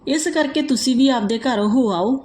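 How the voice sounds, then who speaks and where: A woman reading out a moral folk tale as a storyteller, in a steady, even manner. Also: high-pitched, normal speed, slight background noise.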